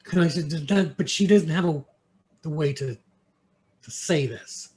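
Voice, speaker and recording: A man from New York with an American accent, an interview guest telling stories casually and haltingly, sometimes switching between English and Mandarin. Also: on the high side, a little mellow. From New York, United States. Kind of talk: casual conversational storytelling. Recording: clear background noise.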